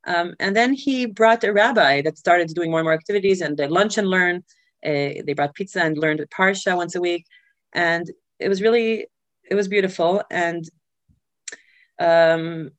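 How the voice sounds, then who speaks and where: A woman who grew up in Israel, telling her life story as an interview guest, pausing often with filler sounds and restarts. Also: fairly low pitch, dry recording. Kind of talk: personal life story in conversation.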